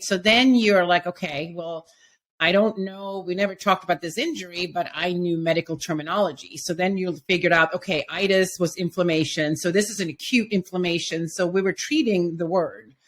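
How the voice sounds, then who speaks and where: A middle-aged woman from Sweden, a physical therapy professor, answering interview questions in a measured, explanatory way. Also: lowish voice.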